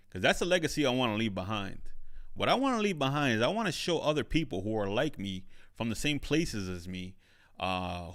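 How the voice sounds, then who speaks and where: Emphatic man with a lively voice. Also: lowish voice. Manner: emphatic, repeats phrases for emphasis. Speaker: podcast host and studio owner. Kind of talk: motivational solo podcast monologue.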